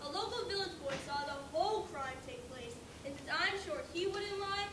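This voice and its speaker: A woman's voice: very high voice, animated, moderate pace. A female amateur actor playing an exasperated, self-certain pig juror on stage, speaking emphatically. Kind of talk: amateur school stage play. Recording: echoey noisy room, distant mic.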